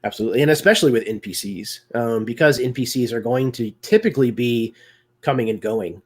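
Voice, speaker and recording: A man appearing as a guest performer on a video call, speaking thoughtfully with many pauses about roleplaying games. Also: medium pitch, breathy edge. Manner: thoughtful, lots of um fillers. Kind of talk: casual discussion of roleplaying games.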